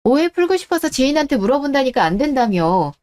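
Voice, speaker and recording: Cool, reproachful wife with mellow high voice, acted. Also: female voice, big pitch swings, quick pace. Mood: stern, unforgiving. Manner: cold, interrogating, reproachful. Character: betrayed, cool-headed wife, reproachful and unforgiving. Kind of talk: radio-drama story dialogue.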